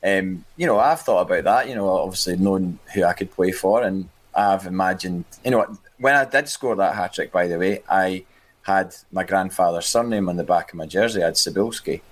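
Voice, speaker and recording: A man's voice: sits low, normal speed. A man co-hosting a podcast, talking casually with frequent restarts and repeated words. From Scotland. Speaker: podcast co-host. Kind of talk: casual chat.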